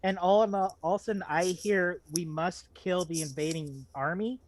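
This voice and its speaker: Guy co-host just riffing, kinda halting and rambly, cracking jokes casually. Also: high voice, a little hiss or hum. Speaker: podcast co-host commentator. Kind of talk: casual joking banter.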